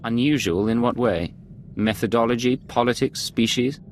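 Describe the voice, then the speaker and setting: An actor playing a cool, professional undercover agent in a video game, curt and controlled. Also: male voice, mid-range voice, moderate pace, soft background music, close to an everyday voice. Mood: guarded, professional.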